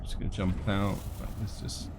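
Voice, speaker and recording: A man with a croaky, congested voice from a cold, casually commenting on his gameplay and thinking aloud as he goes. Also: sits low, completely flat delivery, moderate pace, noisy background. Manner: rambling, thinks aloud with fillers and restarts; reads on-screen game text aloud.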